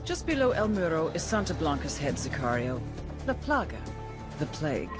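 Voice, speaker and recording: Low-voiced, sardonic American female agent. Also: very animated, normal speed, music in the background. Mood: wry briefing. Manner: dry, sardonic, profane. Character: hard-edged female intelligence agent, wry, cynical, menacing. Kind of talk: scripted game cutscene narration and dialogue.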